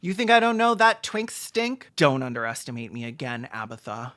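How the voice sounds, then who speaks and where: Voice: mid-range voice, big pitch swings, moderate pace, fairly bright tone. Sarcastic, sweary film commentator. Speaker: video essayist, film reviewer.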